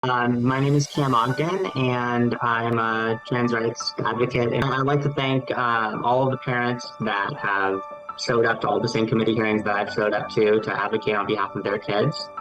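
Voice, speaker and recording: A speaker from Ohio giving earnest, halting interview testimony as an advocate. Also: medium pitch, level tone, fairly bright tone, low-quality recording. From Ohio, United States. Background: background music.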